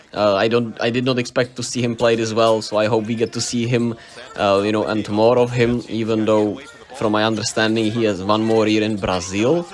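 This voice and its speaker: A young man from the Czech Republic with a Czech accent, giving a casual, rambling basketball recap, enthusiastic and often correcting himself on names. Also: medium pitch. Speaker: basketball vlogger. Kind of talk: casual unscripted sports recap.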